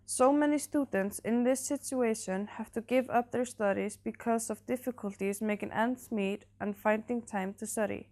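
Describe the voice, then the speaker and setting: A woman's voice: fairly high pitch, moderate pace, hardly any pauses, a little mellow. Teen girl reading interview questions. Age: teens. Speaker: student interviewer.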